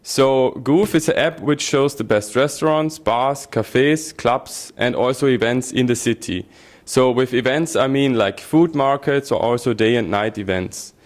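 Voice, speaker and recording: A man pitches his app at middle pitch. He explains through examples, and he voices what an imagined user might think. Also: a little slow. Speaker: startup founder presenting app. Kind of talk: pitch presentation.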